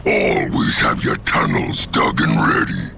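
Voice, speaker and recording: A man voicing a brutish, bad-tempered Ork warboss in a video game, with a snarling gravelly Cockney voice, shouting insults at his underlings. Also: extremely low pitch, little pitch movement, a little slow, background noise, heavily acted. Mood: furious, scheming. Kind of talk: video game cutscene acting. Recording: loud music behind the voice.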